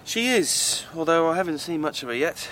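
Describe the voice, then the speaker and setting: An easygoing village man in a radio drama, chatting with friends. His voice sits slightly high. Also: animated, moderate pace, fairly bright tone.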